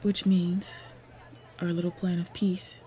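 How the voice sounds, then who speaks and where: A woman playing a devoted, protective female orc warrior lover in an intimate fantasy roleplay audio, speaking softly, earnestly and often breathily. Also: fairly low pitch, little pitch movement, slow pace, a faint crowd. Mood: anxious, troubled. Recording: clear background ambient noise. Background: ambience sound effects.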